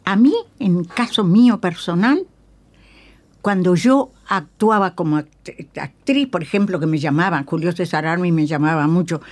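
An older woman with a Uruguayan Spanish accent, reminiscing in a radio interview as an emphatic, halting storyteller who repeats phrases and checks in with tag questions. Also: lowish voice, a little mellow. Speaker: guest, veteran radio actress. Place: radio studio.

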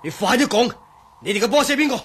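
A man acting a naive, excitable, talkative sidekick stranded in the desert in a Cantonese radio drama, exclamatory in manner. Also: very high-pitched, fast talker, breathy edge, heavily acted. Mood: agitated, threatening. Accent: Cantonese, Hong Kong. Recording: faint background noise.

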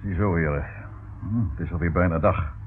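An actor playing a brisk, unflustered police commissioner in a radio play, with a clipped, authoritative manner. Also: male voice, low-pitched, natural voice. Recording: narrowband old radio recording, faint noise.

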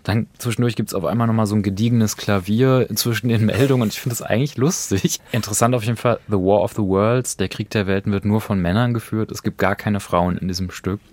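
A dry, close studio recording of a man with a fairly low voice. He talks in a casual, conversational way as co-host of a podcast. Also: steady tone, quick pace, hardly any pauses, a little mellow, no room sound.

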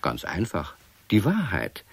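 Male narrator, calm and wry, low with an animated melody, dry studio sound. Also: measured pace, creaky, breathy edge, dry recording. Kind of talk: scripted storybook narration.

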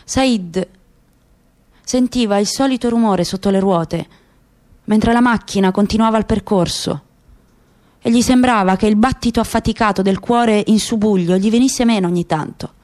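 A woman's voice: mid-range voice, normal speed, darkish tone. A woman giving a dramatic literary reading as a narrator in radio theatre. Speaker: narrator, actress.

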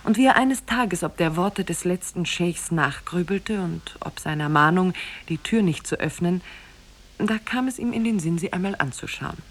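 An actress as the narrator of an Arabian fairy tale, grave and measured in 1950s radio storytelling style. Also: female voice, on the low side, expressive. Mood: measured, solemn. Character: solemn female teller of an Arabian Nights tale. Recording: 1950s radio recording from cassette.

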